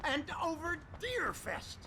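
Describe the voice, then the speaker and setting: Sharp, high-voiced cranky male doctor. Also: wildly swooping pitch, slow pace, a little hiss or hum, exaggerated voice. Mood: grumbling. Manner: grumbling, scolding. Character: cranky, scolding male small-town doctor. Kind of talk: video game dramatic acting.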